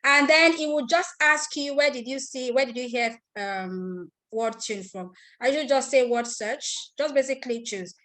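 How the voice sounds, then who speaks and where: Nigerian woman walking a class through software. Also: high-pitched, normal speed, few pauses. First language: Igbo. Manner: step-by-step, repeats herself, asks attendees questions. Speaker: online class instructor. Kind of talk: live screen-share tutorial.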